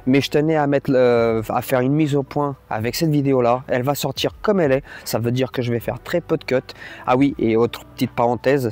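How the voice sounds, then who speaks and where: Male vlogger, native Portuguese speaker, mid-pitched voice; loose, colloquial, off-the-cuff delivery with plain-spoken bluntness. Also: a little mellow. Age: adult, parent. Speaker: YouTuber, host. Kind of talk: casual unscripted vlog monologue.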